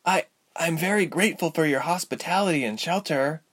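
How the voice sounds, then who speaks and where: A man's voice: on the high side, brisk, fairly bright tone, slightly rough, pitched above the performer's own voice. A man voicing an earnest, impatient young woman adventurer in a storybook, polite but urgent and full of questions. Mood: grateful, hesitant. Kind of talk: storybook character voice.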